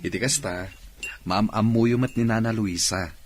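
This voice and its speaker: A man's voice: lowish voice, fairly even intonation, normal speed, slight background noise, close to an everyday voice. A man acting a polite, hospitable restaurant owner in an Ilocano radio drama. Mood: courteous. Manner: polite, accommodating. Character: hospitable orphaned restaurant owner, courteous young boss.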